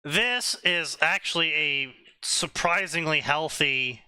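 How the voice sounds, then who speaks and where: A man co-hosting a casual stream, talking slowly and deliberately, often checking "right?". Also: a little high, bright, forceful tone, smooth, no room sound. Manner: explanatory, slow and deliberate, asks "right?" often. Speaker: stream co-host.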